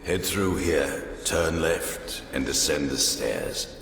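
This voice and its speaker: A man voicing an ancient, sly undead curator in a fantasy game, with a deep gravelly voice and a formal, theatrical way of savoring words. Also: expressive, slow, a bit bright, full character voice. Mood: courteous, sly. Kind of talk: fantasy game character acting. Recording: echoey hall reverb, faint music behind.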